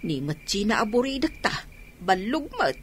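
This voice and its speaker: A woman's voice: mid-range voice, sing-song, moderate pace, a little hiss or hum, natural voice. An actress playing an anxious, nagging middle-aged mother in a radio drama, fretful and complaining. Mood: worried. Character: anxious, nagging mother worn down by her children.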